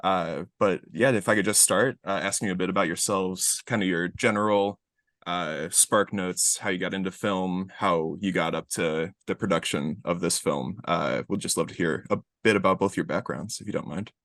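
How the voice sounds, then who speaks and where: A man hosting a podcast interview, asking polite, measured questions with a few hesitant pauses. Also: sits low, fairly bright tone, no room sound, studio quality. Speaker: podcast host.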